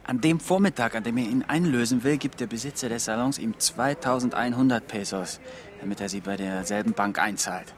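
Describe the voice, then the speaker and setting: An actor playing a self-satisfied young police detective, telling gossipy, animated stories in audio drama dialogue. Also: male voice, medium pitch, brisk, lightly acted. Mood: gleeful.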